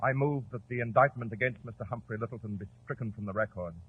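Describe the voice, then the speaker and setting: An actor playing a formal, deferential courtroom lawyer in a measured, legalistic manner for 1940s radio drama. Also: male voice, mid-range voice, husky, close to an everyday voice. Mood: solemn. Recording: tinny, hissy 1940s radio recording.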